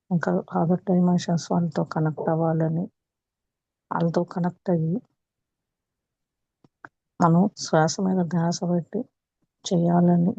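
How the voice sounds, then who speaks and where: A woman with a Telugu accent leading a guided meditation as a teacher, calm and unhurried, with long pauses. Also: lowish voice, creaky. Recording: muffled, echoey room recording.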